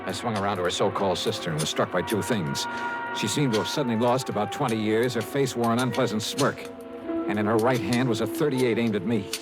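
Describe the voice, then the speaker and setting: An actor playing a tough, wry insurance investigator, clipped and dry, in 1950s radio detective style. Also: male voice, lowish voice, brisk, over loud music, lightly acted. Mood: grim narrating. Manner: clipped, dry, hard-boiled. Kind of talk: 1950s radio detective acting with first-person narration.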